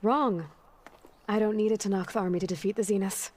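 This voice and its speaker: A woman's voice: medium pitch, steady tone, brisk, a little mellow, slight background noise, close to an everyday voice. An actress playing a determined, commanding young warrior woman in a video game, with an American accent and blunt, assertive delivery. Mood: defiant, confident. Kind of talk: video game cutscene acting.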